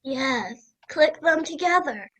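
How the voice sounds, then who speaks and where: A young woman in an amateur radio play, playing a gruff but playful old grandfather. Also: high voice, expressive, slow pace, heavy background noise. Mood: patient, nostalgic. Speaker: student radio play performer.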